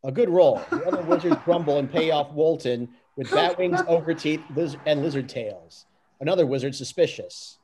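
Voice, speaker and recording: A man with a slightly high voice narrates stage directions from a script. It is an amateur reading, and he often trips on words and starts again. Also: moderate pace, background noise. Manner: stumbles and restarts over the text.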